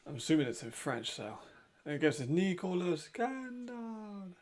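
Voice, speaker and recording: A man hosting a watch-along, giving casual live commentary with a raspy voice, chatty and laughing often. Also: high-pitched, moderate pace, a little mellow. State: hay fever.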